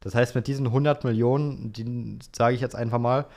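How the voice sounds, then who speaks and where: A man's voice: mid-range voice, steady tone, brisk, darkish tone. A young man co-hosting a podcast, casually answering listener questions in an easygoing, colloquial way. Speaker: podcast co-host.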